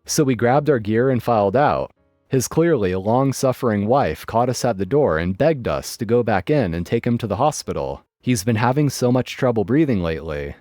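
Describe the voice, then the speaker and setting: A man narrating online stories in a lively, dry storytelling manner. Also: mid-range voice, normal speed, no echo, soft background music.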